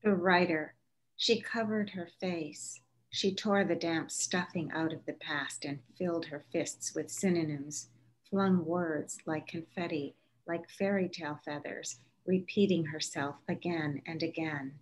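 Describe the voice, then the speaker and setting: A woman's voice: low voice, steady tone, unhurried, a little hiss or hum, rough recording. A woman giving a scripted literary reading of lyrical prose poetry. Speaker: author reading aloud.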